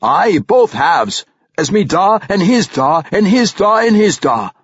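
A man voicing a proud, exuberant dwarf guard captain in a fantasy audiobook, boastful and loud. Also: high-pitched, very animated, measured pace, slightly rough, no room sound, dull audio, pitched above the performer's own voice, exaggerated voice. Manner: boastful, exuberant, shouting dialect. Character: wild, proud red-bearded male dwarf warrior captain.

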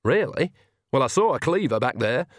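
A man's voice: mid-range voice, very animated, normal speed, no echo, clean studio sound, voice pushed up. A man voicing a brash, cheerful old witch woman who gives orders bluntly in a storybook reading. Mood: breezy. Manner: breezy, blunt and commanding.

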